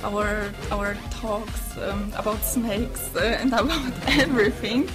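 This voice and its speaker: A woman with a Polish accent giving a teasing, halting farewell message to a colleague, reading from a small card. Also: mid-range voice, steady tone, over music. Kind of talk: casual farewell message to camera.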